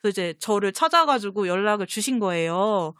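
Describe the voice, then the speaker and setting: A woman's voice: medium pitch, expressive, a little fast, a bit bright, no echo, clean studio sound. A woman guest on a fan talk show, telling personal anecdotes in casual conversation.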